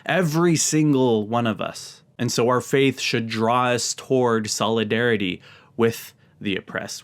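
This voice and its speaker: A man speaking as a video host, giving reflective commentary to viewers in an earnest, measured manner. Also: on the low side.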